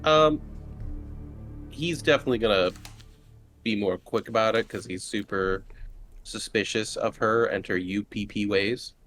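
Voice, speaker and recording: A man's voice: fairly low pitch, unhurried, pauses often, a bit bright, soft background music. A man chatting casually as a player in a tabletop roleplaying game, describing what his character does.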